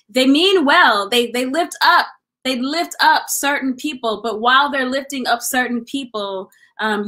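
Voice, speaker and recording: Bright, higher-pitched American woman, earnest. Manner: earnest, restates phrases for emphasis. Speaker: guest artist and writer. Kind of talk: reflective interview answers. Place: indoor room at home, video call. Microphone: video call mic.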